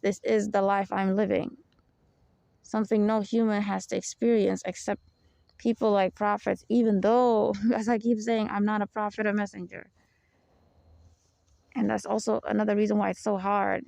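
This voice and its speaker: A young woman with a deep, low voice and a gravelly edge talks through a personal podcast. She rambles and confides, and often drops in "you know" and small laughs. The sound is dry and close, with no room around her. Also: flat delivery, normal speed, darkish tone, no room sound. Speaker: podcast host.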